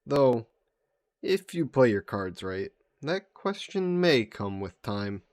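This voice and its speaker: A man voicing a vain sea monster who poses as a mannered gentleman in a roleplay audio drama, speaking in suave, formal phrases. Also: mid-range voice, very animated, takes it slowly, no room sound, clean studio sound. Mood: playful, flirty. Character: flirty, vain sea monster posing as a gentleman traveler, turning menacing.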